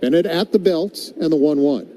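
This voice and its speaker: A man's voice: fairly high pitch, moderate pace, dark tone. A man calling a baseball game live as a radio play-by-play commentator. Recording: live broadcast with clear background noise.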